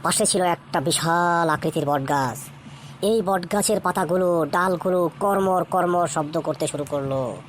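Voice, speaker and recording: A woman's voice: on the low side, a little slow, slight background noise, lightly acted. A woman voicing a jovial village uncle in a cartoon, telling a ghost story in a colloquial, suspenseful way.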